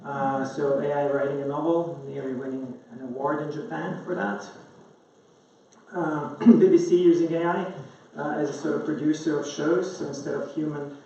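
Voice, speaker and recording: A man's voice: a little high, steady tone, normal speed, dark tone. A very echoey, far-off recording of a man presenting at a conference. He explains in an informal, hesitant and loosely structured way, and the sound is somewhat dull. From Croatia. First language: Croatian. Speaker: conference speaker, science journalist. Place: indoor conference room. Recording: very echoey room, distant mic, dull, faint noise.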